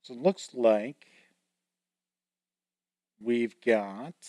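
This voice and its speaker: A man's voice: medium pitch, very slow, a little mellow, dry recording. A man hosting a tabletop gaming show, casually flipping through a book, reading entries aloud and commenting, joking about his own mispronunciations. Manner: chatty, reads entries aloud and comments on them. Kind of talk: casual product walkthrough.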